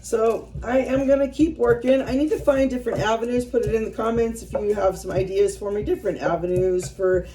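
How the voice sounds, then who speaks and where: A woman's voice: a little high, fairly even intonation, brisk, few pauses, mellow, far from the mic, rough recording. A woman in her fifties chatting casually to her viewers as she works, rambling and self-deprecating, often trailing off mid-thought. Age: 50s. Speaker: vlogger. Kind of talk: casual chat while working by hand.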